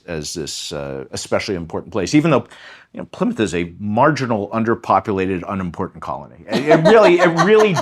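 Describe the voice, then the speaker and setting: A man, a history professor, explaining in a conversational, lecturing way with many fillers and restarts. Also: fairly low pitch.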